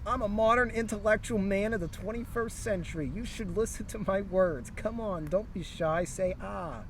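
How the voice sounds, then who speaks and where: A man's voice: high voice, moderate pace, pitched above the performer's own voice. Man putting on a condescending young hero, faint outdoor noise. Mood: smug, bossy. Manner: condescending, bossy. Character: arrogant, whiny young male otherworld hero. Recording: outdoor recording with vehicle noise.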